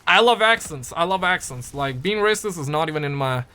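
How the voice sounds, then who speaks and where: Bright-voiced man chatting on stream. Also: on the high side, lively intonation, fairly quick, clean tone. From Pakistan. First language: Urdu. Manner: rambling, repeats words and restarts often, playful. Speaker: livestreamer. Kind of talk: casual livestream chat, reading viewer comments aloud.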